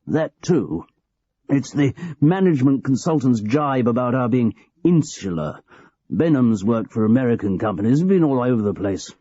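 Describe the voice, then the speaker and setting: A querulous old department head, as a male narrator puts him on, testy and full of self-pity. The voice is husky and dark, and it sits on a dull, lo-fi recording with no room sound. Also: mid-range voice, animated, moderate pace, no echo, pitched above the performer's own voice, close to an everyday voice. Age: older.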